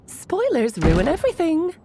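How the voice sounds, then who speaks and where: A woman's voice: very high-pitched, wildly swooping pitch, measured pace, warm tone, a little hiss or hum, heavily acted. A woman voicing a playful, elegant mage and doting aunt in a video game, with a theatrical, teasing, flourishing delivery. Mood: coy. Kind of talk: anime-style video game voice acting.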